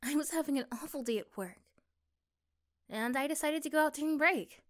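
A woman playing a gentle, affectionate young girlfriend in an ASMR roleplay, speaking softly and hesitantly with tender pauses. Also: high voice, close to an everyday voice. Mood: fond, reminiscing. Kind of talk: ASMR roleplay, intimate one-sided dialogue.

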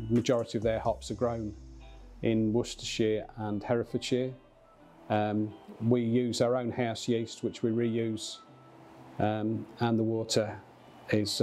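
Middle-aged male, dark mellow tone, documentary interviewee, soft music bed. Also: lowish voice. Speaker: brewery owner or worker. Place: brick-walled room indoors.

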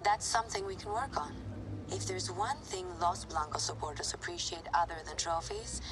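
A woman playing a confident, businesslike football agent, speaking in a measured, formal way in a game cutscene. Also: on the low side, lightly acted, rough recording. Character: assured, businesslike female football agent on a call. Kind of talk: naturalistic game cutscene acting.

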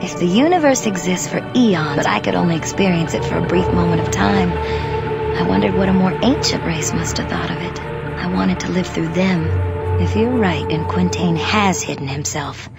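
A low, gravelly-voiced female scholar. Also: normal speed, over loud music. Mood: wistful. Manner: reflective, softly musing. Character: thoughtful female scholar, curious and wistful. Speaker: xenoarchaeologist. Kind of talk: video game voice acting.

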